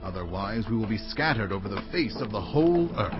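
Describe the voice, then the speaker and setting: A man's voice: fairly low pitch, big pitch swings, a little fast. An actor playing a bold ancient man, declaiming rousingly to his people in a scripture drama. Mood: eager, rousing. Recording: dull audio with loud music behind.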